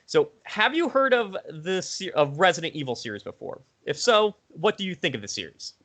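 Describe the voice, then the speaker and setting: A man's voice: high-pitched, big pitch swings, normal speed, fairly bright tone. A man interviewing a guest in a casual, chatty gaming interview over an online call. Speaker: interviewer, host.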